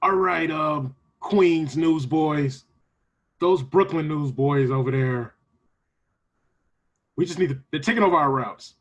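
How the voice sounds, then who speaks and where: A man playing an earnest newsboy gang leader in improvised comedy, deliberate and husky as he negotiates. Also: a little high, dull audio. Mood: earnest, firm.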